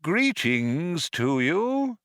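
A man voicing an old announcer from a dead planet in an audiobook, hollow and reedy, slow, formal and politely sinister. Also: on the high side, big pitch swings, fairly bright tone, clean tone, no room sound, clean studio sound, voice pushed up, full character voice. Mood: courteous, menacing.

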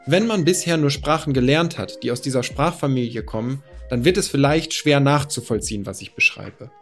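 A man in his thirties from northern Germany, calmly and methodically explaining language families in a personal monologue. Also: mid-range voice, fairly quick, faint music behind. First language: Low German. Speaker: podcast host.

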